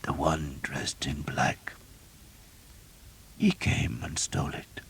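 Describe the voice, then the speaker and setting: A man's voice: very deep voice, flat delivery, takes it slowly, vocal fry, mellow, a little hiss or hum, heavily acted. A man voicing a dying older male priest who speaks in a weak, strained whisper. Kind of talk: audiobook character reading.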